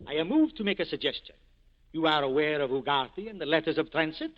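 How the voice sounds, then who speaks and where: An actor playing a self-important underworld boss with a courtly, unctuous manner in 1940s radio drama. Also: male voice, fairly high pitch, animated, brisk, close to an everyday voice. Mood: courtly, confiding. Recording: dull lo-fi vintage radio broadcast.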